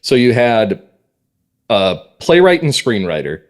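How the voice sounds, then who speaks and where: A man's voice: medium pitch, very animated, measured pace. A man chatting casually as an interview guest, telling anecdotes about his creative work with enthusiasm. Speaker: podcast guest, audio drama writer and producer.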